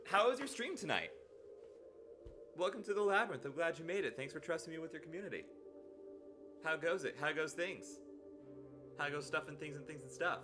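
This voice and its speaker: A man hosting a live stream, chatting playfully with sudden excited jumps in his voice. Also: on the high side, fairly quick, long pauses, music in the background. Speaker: livestream host. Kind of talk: casual live stream chat.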